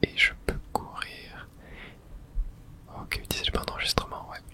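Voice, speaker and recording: A gamer speaks in a breathy, unvoiced whisper with a bright, crisp edge. They narrate their play in an ASMR style, murmuring small reactions and mild curses as they go. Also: normal speed. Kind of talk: whispered ASMR video game let's play.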